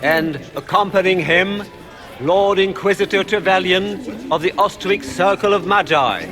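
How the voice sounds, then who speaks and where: A pompous fantasy game court herald with a high male voice. He proclaims titles grandly and ceremonially, with clear music behind him. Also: unhurried.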